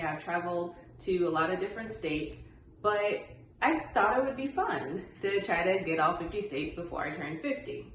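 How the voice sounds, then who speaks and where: A woman's voice: medium pitch, fairly bright tone. A woman in her thirties chatting upbeat to camera as a travel vlogger, thinking aloud with the odd filler. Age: 30s. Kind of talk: casual talk to camera. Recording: very echoey room, faint ambient noise.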